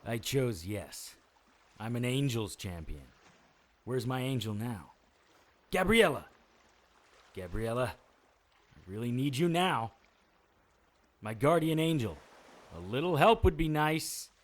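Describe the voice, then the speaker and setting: A man's voice: medium pitch, very animated, slow, long pauses. A man playing a battered, wry supernatural investigator in an audio drama, weary and dramatic. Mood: pleading. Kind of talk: audio drama acting with first-person monologue.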